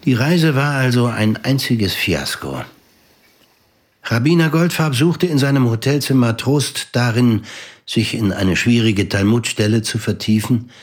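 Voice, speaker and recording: A man narrating a scripted audio drama in a measured, matter-of-fact way, with a husky voice. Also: medium pitch. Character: matter-of-fact male narrator of a crime story.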